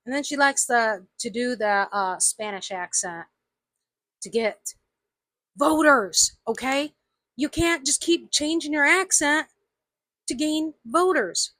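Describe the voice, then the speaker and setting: An American woman with a slight Southern lilt, venting her anger straight into the camera. Her voice is bright and edgy, a little higher than most, and close and dry with no room around it. She hammers each point home and says it twice. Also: slow pace, no room sound. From United States. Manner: indignant, emphatic, repeats herself. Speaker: vlogger. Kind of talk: angry opinion rant to camera.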